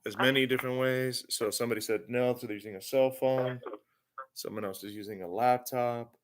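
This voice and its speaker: A man's voice: medium pitch, fairly even intonation, fairly quick. A man teaching a class over a conference call, often repeating words and restarting his sentences. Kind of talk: online class instruction.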